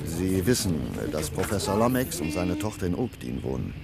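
An actor playing a courteous, worried academic in a radio play, speaking in a hesitant, earnest way over some noise. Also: male voice, low voice, very animated, normal speed, over loud music. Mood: earnest, concerned. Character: worried, courteous male academic doctor investigating disappearances.

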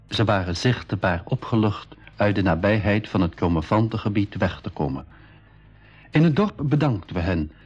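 A dull, muffled old radio recording carries an adventurer telling his tale to youngsters. His male voice is low and husky. He phrases it in a measured storytelling manner. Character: adventurer recounting his expedition to young listeners. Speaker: narrator. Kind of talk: scripted narration for a school radio adventure serial.

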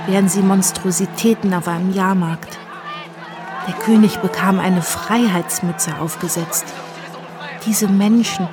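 An actress playing a reflective woman recalling revolutionary times in a radio play, in measured, sober first-person narration. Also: mid-range voice, background noise, lightly acted.

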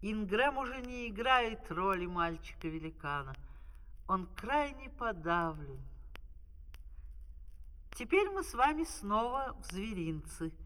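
A man's voice: sits high, sing-song, measured pace, sharp tone. A man narrating a fairy-tale opera, reading scripted scene descriptions as a storyteller. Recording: hall reverb on old record.

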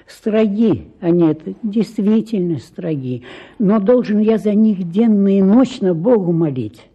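A man's voice: high-pitched, expressive, a little slow, husky, narrow, tinny audio, pitched above the performer's own voice, full character voice. Man putting on a meek, fawning henpecked husband. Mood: pious. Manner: sugary, submissive. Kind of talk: radio play acting.

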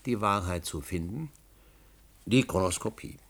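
An actor playing a middle-aged historian in a radio drama, brooding, obsessed and insistent, with a gravelly, husky voice. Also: male voice, low voice, animated, unhurried. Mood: insistent, urgent. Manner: insistent, muttering, emphatic. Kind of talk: German radio drama acting.

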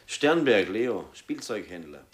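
Detective lieutenant: very low voice, dutiful, methodical, formal delivery, radio crime play. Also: male voice, big pitch swings, very noisy. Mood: determined. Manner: measured, formal, businesslike. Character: determined male police lieutenant, methodical and dutiful. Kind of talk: German radio drama acting.

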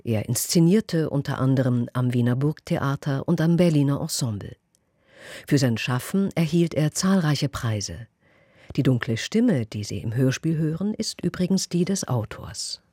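Guy introducing a radio play from a script, mellow husky voice, dry close sound. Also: medium pitch, lively intonation, moderate pace, no echo.

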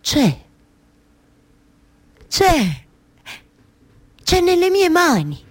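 A woman's voice: very high-pitched, wildly swooping pitch, drawn-out words, pitched above the performer's own voice, full character voice. A woman with a Roman Italian accent playing a weary, yearning wife who weaves stories, in an incantatory theatrical monologue. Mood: insistent, yearning. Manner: incantatory repetition, shifting from murmur to insistence. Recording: live music behind the voice.

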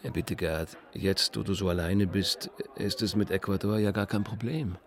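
Male boss for radio drama: low register, formal, halting, condescending. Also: steady tone. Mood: smoothly familiar. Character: stiff male architecture boss, awkwardly formal, patronising.

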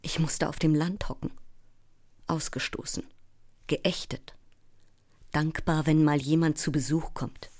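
A woman playing a sleepless, overwrought actress close to breakdown in a theatrical monologue, intense and fragmented, often slipping into breathy whispers. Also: low voice, fairly even intonation, a little slow, pauses often, darkish tone, no room sound. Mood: paranoid, obsessive. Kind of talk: theatrical audio drama monologue.

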